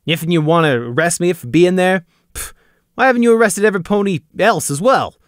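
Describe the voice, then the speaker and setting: A jittery, defensive male pony, raised and pleading, lively in pitch, as a male narrator puts him on. Dry, close sound. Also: dry recording. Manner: defensive, quick, protesting.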